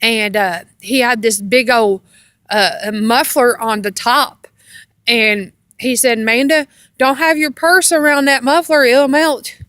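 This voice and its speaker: A woman casually telling rambling personal stories in a halting, meandering way, often repeating herself. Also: a little high, fairly even intonation, fairly bright tone, no echo, clean studio sound. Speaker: storyteller on own video channel.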